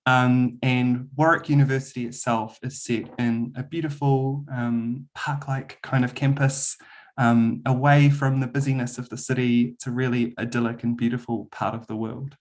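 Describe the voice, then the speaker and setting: A man's voice: medium pitch, little pitch movement, normal speed. A man with a New Zealand accent giving a friendly university webinar presentation over a video call, with frequent "um" fillers. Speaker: university lecturer.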